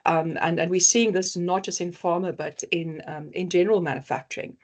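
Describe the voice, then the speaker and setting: A woman's voice: fairly low pitch, steady tone, fairly quick. A woman with a South African accent giving a webinar presentation as company founder, talking with many fillers and restarts.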